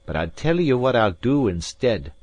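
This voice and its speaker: Give me a man playing a fiery Scottish Highlander, gruff and blustering. His voice is pushed up well above a low natural register and swings widely. It is loud and carries a strained, altered tone. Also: sing-song, moderate pace, no echo. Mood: earnest. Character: fierce proud Scottish Highland gentleman.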